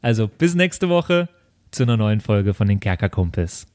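A man's voice: on the low side, very animated, moderate pace, background noise. A man chatting casually as a podcast co-host addressing the listeners and his friends. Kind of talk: casual chat and outro plug.

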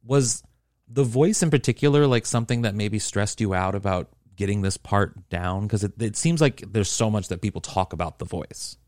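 A man hosting an interview podcast, talking in a relaxed way and affirming his guest often. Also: fairly low pitch, normal speed, dry recording, clean studio sound. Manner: conversational, lots of affirming "yeah"s. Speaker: podcast host. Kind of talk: casual interview chat.

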